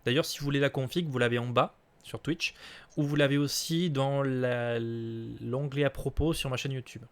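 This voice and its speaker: A man's voice: medium pitch, steady tone, moderate pace. Casual streamer, man in his late twenties, hesitant, filler-heavy delivery. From southern France. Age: late 20s. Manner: hesitant, many fillers and restarts, laughs easily. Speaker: streamer answering viewer questions.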